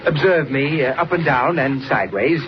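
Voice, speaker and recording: A noisy, muffled vintage radio recording of a man playing a pompous old gentleman. He is excitable and fussy, effusive one moment and indignant the next, in theatrical 1940s radio style. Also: fairly high pitch, normal speed, natural voice. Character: excitable, fussy old photographer and amateur detective.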